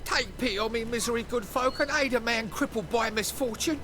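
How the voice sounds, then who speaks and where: An actor playing a cynical, plaintive beggar in a medieval fantasy game. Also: male voice, very high-pitched, fairly quick, music in the background. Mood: pleading. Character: wheedling, cynical crippled beggar man in a medieval city. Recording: noisy game street ambience.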